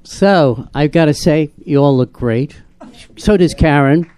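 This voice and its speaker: A man's voice: fairly high pitch, measured pace, dark tone, smooth. A man hosting a live onstage panel, chatty and teasing, laughing with his guests. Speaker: host moderating a live panel. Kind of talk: lively onstage Q&A, joking. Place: live theater event.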